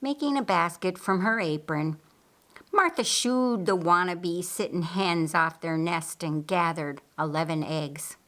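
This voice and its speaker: A woman reading an audiobook story in a deliberate, expressive storytelling style. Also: lowish voice. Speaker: audiobook narrator. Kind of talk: scripted storytelling narration with acted dialogue.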